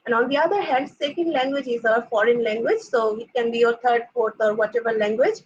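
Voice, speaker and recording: A young woman presents her graduate coursework at a seminar. She explains patiently, leaning on "like" and "uh" as she goes. Her voice is husky and somewhat high, and the recording sounds dull and lo-fi. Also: fairly quick. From Bangladesh. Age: young adult. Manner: explanatory, frequent "like" and "uh" fillers.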